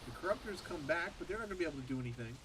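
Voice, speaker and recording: A man's voice: on the high side, animated, very fast, background noise. Stuffed-up, nasal male game caster. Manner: casual, thinking aloud between plays. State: recovering from a sore throat. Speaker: esports caster. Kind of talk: solo game commentary.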